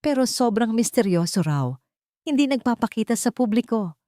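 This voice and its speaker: A woman's voice: fairly high pitch, lively intonation, normal speed, mellow, no echo, studio quality, pitched above the performer's own voice, natural voice. Woman as an anxious, desperate grown son. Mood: hopeful. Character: desperate adult son of a failing rich family.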